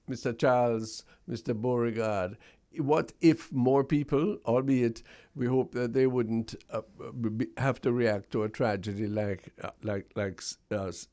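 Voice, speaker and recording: A man's voice: medium pitch, a little slow, mellow. A man from Jamaica hosting a radio talk show, asking questions emphatically and stumbling over his words.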